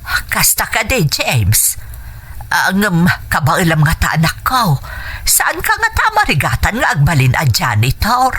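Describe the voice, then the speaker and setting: A tender, worried middle-aged Ilocano mother in a radio drama. Her voice is on the low side and swings widely in pitch as she shows her concern. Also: huge pitch swings, measured pace, fairly bright tone, breathy edge, exaggerated voice. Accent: Filipino (Ilocano). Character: worried, tender widowed mother of a grown son.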